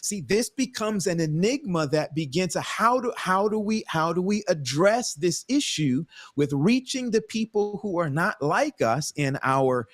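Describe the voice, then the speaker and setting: Male pastor on a panel, higher-pitched than most men, animated, restarts a lot. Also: a little slow, few pauses. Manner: animated, searching for words, frequent restarts. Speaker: pastor and co-host. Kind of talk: casual panel talk.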